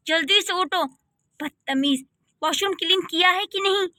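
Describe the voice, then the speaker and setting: A woman voicing a strict young mother who scolds in a sharp, impatient way. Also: very high-pitched, normal speed, dry recording, studio quality. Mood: scolding.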